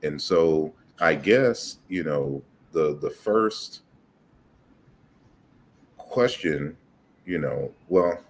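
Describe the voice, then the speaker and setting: A man's voice: very deep voice, animated, drawn-out words, long pauses, a little hiss or hum. A man hosting an online interview, talking hesitantly with many "you know"s and repeated words. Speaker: host.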